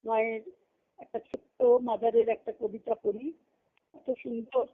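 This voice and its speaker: A woman's voice: on the high side, steady tone, a little slow. A woman giving an earnest devotional talk to a group, repeating phrases for emphasis. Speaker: spiritual discourse speaker. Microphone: phone conference call. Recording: tinny narrowband conference call line.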